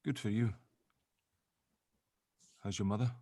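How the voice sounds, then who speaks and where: An actor playing a gruff, clumsy ex-convict father who is keen to please, in a drama table read. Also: on the low side, fairly even intonation, slow, dark tone. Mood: awkward, tentative.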